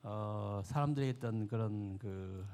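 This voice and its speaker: A man's voice: on the low side, very fast, mellow. A man in the audience asking a question politely, searching for his words. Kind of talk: question from the floor.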